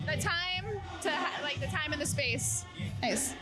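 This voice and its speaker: Lady host doing casual chatty interview, really high voice, music blasting behind. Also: animated, unhurried. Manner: informal, chatty. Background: music from a live show.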